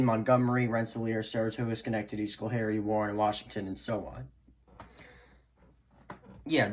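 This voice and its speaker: A man giving a casual, unscripted product demo in a rambling, hesitant way, often trailing off into "so yeah". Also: mid-range voice. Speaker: hobbyist video maker. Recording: clearly noisy amateur home recording.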